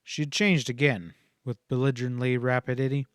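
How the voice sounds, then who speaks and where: Guy reading a western audiobook, mid-pitched voice, scripted narration, dry w no room echo. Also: steady tone, brisk.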